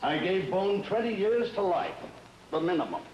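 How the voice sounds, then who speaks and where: An actor playing a stern, formal judge and husband in measured 1950s television drama style. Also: male voice, high voice, sing-song, highs cut off. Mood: firm. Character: stern, formal middle-aged male judge and earnest husband. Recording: noisy old 1950s broadcast soundtrack.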